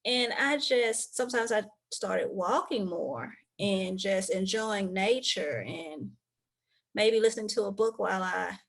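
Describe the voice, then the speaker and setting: Woman, Southern American accent, interview guest, upbeat and practical, gravelly fry. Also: mid-range voice, unhurried. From southern United States. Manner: upbeat, practical advice-giving. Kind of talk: interview answers.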